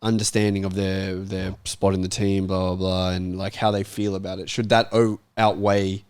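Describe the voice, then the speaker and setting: A man's voice: low-pitched, level tone, normal speed, darkish tone, smooth, no room sound, studio quality. A man hosting a casual football discussion and putting questions to the panel.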